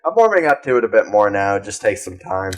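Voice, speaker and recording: A man's voice: fairly low pitch, sing-song, quick pace. A young adult man co-hosting a podcast in casual, rambling chat sprinkled with swearing. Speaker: podcast co-host.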